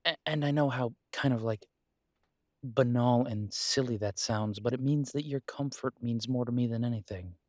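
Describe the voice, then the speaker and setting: A man acting as an earnest, protective werewolf in an intimate roleplay audio, speaking tenderly to a partner with halting pauses. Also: medium pitch, no room sound, studio quality, lightly acted.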